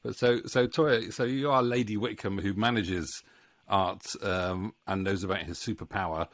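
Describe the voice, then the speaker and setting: A middle-aged man hosting a casual interview in a jokey, halting way. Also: on the low side, fairly even intonation, no room sound. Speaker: interviewer and voice actor. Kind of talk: casual chat. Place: small home room. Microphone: studio microphone on a boom arm.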